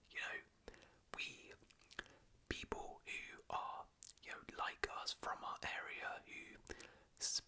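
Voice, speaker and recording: A man whispering casual, rambling talk as a football fan, with frequent restarts. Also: very high voice. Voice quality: soft whisper throughout. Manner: rambling, conversational, restarts often.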